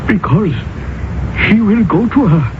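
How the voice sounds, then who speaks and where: An actor playing a devoted, fearful Indian manservant who speaks in formal, solemn, old-fashioned phrases in a radio drama. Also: male voice, lively intonation, unhurried. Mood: grave, resolute. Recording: tinny, noisy radio broadcast with rumble.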